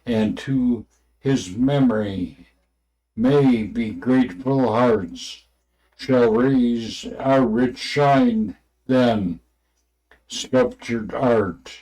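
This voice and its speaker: A man with a mid-range voice reads aloud from a religious book at an extremely slow pace. His delivery is unpolished and halting, broken into short phrases, with stumbles over the wording. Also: mid-range voice, mellow, rough recording. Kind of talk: unrehearsed reading aloud with asides.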